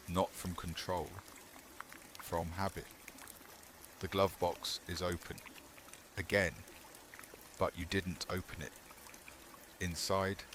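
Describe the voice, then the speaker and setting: Very deep-voiced man narrating horror, slowly. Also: a bit bright, slight background noise. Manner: slow, short measured phrases with pauses. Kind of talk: scripted second-person horror narration.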